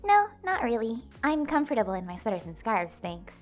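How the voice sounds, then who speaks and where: Woman acting an awkward, earnest, high-voiced young woman. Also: very animated, fairly quick, faint music behind. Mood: dry, casual. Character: modest, awkward young woman, gentle and a little defensive. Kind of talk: audio drama acting.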